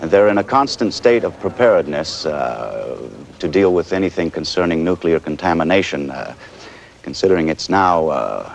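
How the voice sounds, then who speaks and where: A man playing a composed television news anchor covering a crisis live, measured but pausing haltingly. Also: very deep voice, vocal fry, exaggerated voice. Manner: measured, hesitant live delivery with halting pauses. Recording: noisy 1980s television broadcast audio.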